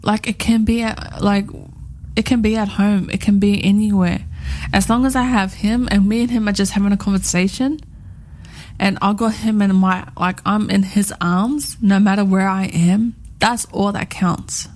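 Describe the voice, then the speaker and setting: A woman's voice: mid-range voice, fairly even intonation, normal speed, a little mellow. Rambling heartfelt woman on radio. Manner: rambling, heartfelt, repeats herself. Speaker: radio co-host. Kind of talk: casual radio chat.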